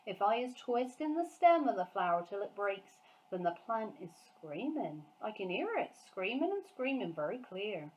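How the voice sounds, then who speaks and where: Woman voicing an earnest, emphatic storybook giant, lively swooping delivery. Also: medium pitch, normal speed, few pauses, dull audio, lightly acted. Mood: earnest, grave. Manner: emphatic, quaint muddled grammar. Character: big friendly giant man, earnest, proud, childlike wonder. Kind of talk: acted children's storybook dialogue.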